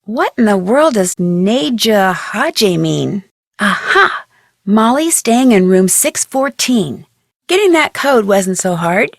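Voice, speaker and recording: A woman's voice: mid-range voice, big pitch swings, slow pace, gravelly, no room sound, studio quality, heavily acted. A woman voicing a curious, plucky young female detective in a video game, musing aloud expressively.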